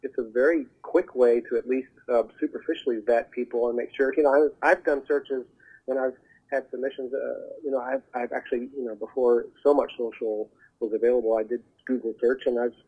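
A man speaking as a talent agent guest, giving advice in an earnest, halting, conversational way over the telephone. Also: medium pitch, steady tone, slightly rough. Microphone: telephone call. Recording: muffled narrow phone line.

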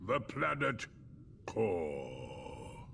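A man voicing a pompous, gruff amphibian alien ruler with blustering, stately broken speech in a video game. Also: low voice, very animated, drawn-out words, heavily acted. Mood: haughty. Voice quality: gravelly, blustering. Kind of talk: animated video game cutscene acting. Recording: game ambience noise behind.

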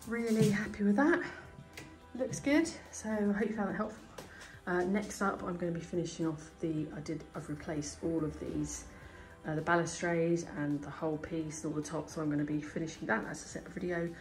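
A woman's voice: lowish voice, steady tone, brisk, faint music behind, rough recording. A woman giving a casual DIY tutorial at home, thinking aloud as she works on a staircase. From United Kingdom. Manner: chatty, thinking aloud while working. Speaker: DIY home renovation vlogger. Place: indoor hallway and staircase at home. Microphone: handheld phone or camera filming her hands.

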